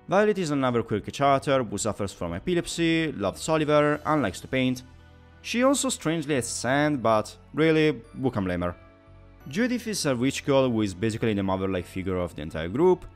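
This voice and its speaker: A man giving a scripted video essay review as commentary, in a wordy, analytical way with emphatic phrasing. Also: medium pitch.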